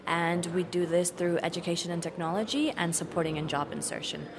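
A woman's voice: fairly low pitch, brisk. Thoughtful woman explaining, noisy background. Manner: thoughtful, restarts while searching for words. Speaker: recruitment director. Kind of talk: interview, explaining.

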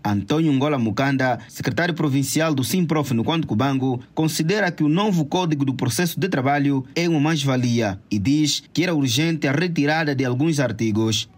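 A man's voice: mid-range voice, fairly quick, hardly any pauses. A man narrating a scripted radio news report as a reporter.

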